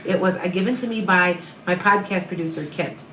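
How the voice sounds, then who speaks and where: A woman with a Midwestern accent talks to her live viewers in a calm, comforting way. The room around her is echoey, with a faint hum behind her voice. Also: fairly low pitch, a little fast. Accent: Upper Midwest American. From American Midwest. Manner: calm, soothing, reassuring. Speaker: tarot reader and medium. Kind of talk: live-stream reading viewer questions.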